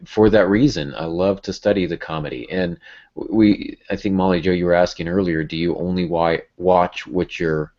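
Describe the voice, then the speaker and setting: Male podcast host, very deep voice, informal and illustrative delivery, slightly muffled lo-fi audio. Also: steady tone, moderate pace, a little mellow. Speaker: podcast host and writing teacher. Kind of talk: casual podcast discussion.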